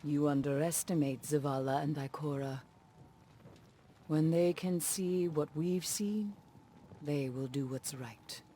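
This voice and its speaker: A woman's voice: deep voice, slow, dark tone, slight background noise. A woman playing a solemn, haunted mystic in a video game, giving stern counsel in a grave, formal, portentous way. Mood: firm. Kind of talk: video game story dialogue.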